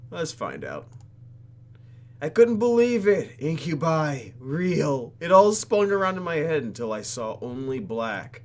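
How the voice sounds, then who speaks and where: A man reading visual novel text aloud for a let's play and reacting to it in a theatrical, exaggerated way. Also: on the high side. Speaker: YouTube gamer.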